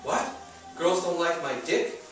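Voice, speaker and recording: A man voicing a crude, excitable ninth-grade boy who talks in mocking slang, in a storybook reading. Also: a little high, measured pace, recorded from a distance, soft background music, voice pushed up. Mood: teasing, crude. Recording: echoey room from afar, faint noise.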